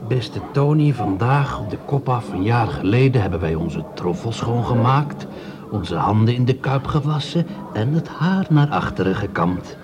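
An actor plays an unemployed male bricklayer in a radio play. He is earthy, sceptical and fond of a drink, with a blunt, grumbling way of talking. His voice sits at a middle pitch, amid clear café chatter. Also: lively intonation, moderate pace, close to an everyday voice. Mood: ceremonious. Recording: café crowd behind the voice.

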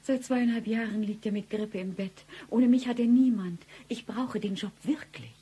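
An actress playing an eccentric, deadpan femme fatale in a satirical radio play, with a husky, breathy delivery. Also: female voice, fairly high pitch, moderate pace. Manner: deadpan, dropping into breathy whispers. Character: blind woman job-seeker, eccentric deadpan femme fatale.

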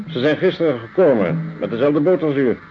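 A man's voice: medium pitch, expressive, a little fast, lightly acted. A man playing a callous, practical prison commandant in a Dutch radio drama, curt and dismissive. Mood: brusque, callous. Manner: curt, matter-of-fact, dismissive. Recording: dull lo-fi radio recording, music behind.

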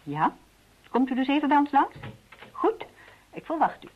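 A woman playing a brisk detective agency assistant in a radio play, frank and dryly teasing. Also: high-pitched, very animated, a little hiss or hum. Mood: wry. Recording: dull lo-fi old radio sound.

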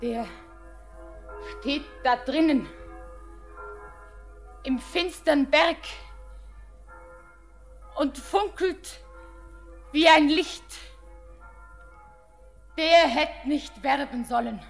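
An actress playing a heartbroken, deranged young bride in a literary radio play, speaking in a lyrical, trance-like manner. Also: female voice, high-pitched, extremely slow, gravelly, pauses often, soft background music, full character voice. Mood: entranced, chilled.

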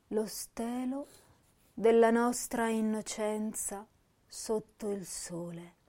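An actress playing a tender, wounded young woman poet, reciting verse with hushed pauses and emotional swells. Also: mid-range voice. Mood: mournful. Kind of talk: dramatic poetry recitation.

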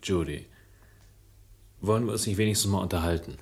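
A man's voice: sits low, a little fast, darkish tone. An actor playing a concerned man in a German radio crime drama, earnest, hesitant and pleading. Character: concerned, pleading man offering a woman help.

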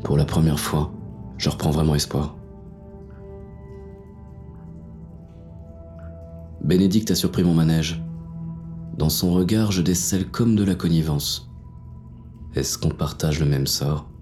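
A man's voice: very deep voice, moderate pace, darkish tone, soft background music. A man playing a jaded, wary police inspector who narrates a noir audio drama in a blunt, world-weary, slangy way. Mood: uneasy, hopeful.

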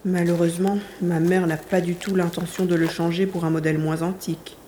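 A woman playing a determined, curious young hero in a science fiction audio drama, expressive and thinking aloud. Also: fairly low pitch, a little fast, slight background noise, natural voice. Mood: impressed, wry.

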